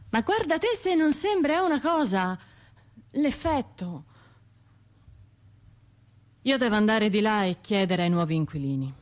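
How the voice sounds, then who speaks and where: An actress playing an anxious, self-doubting trauma survivor in a radio drama, talking to herself in broken, halting phrases with a Tuscan accent. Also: female voice, on the high side. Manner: halting, fragmented, self-interrupting inner monologue.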